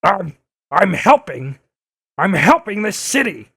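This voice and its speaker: A man playing a bitter, blustering old newspaperman turned blogger in an audio drama, with a gravelly, raspy voice and an accusatory, declaiming manner. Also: sits high, sing-song, slow, a bit bright, exaggerated voice. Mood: defensive, angry. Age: older. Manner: accusatory, indignant, theatrical declaiming.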